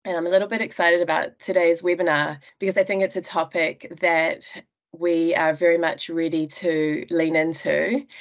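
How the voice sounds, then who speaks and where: New woman hosting a webinar. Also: fairly low pitch. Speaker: webinar host. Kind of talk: scripted introduction. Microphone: video call.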